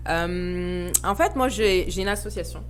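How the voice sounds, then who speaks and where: A woman's voice: fairly low pitch, measured pace, faint music behind. A woman, a guest speaking earnestly and reflectively with frequent hesitations. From Paris suburbs, France. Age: 30s. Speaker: guest, association founder. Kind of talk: podcast interview, personal reflection.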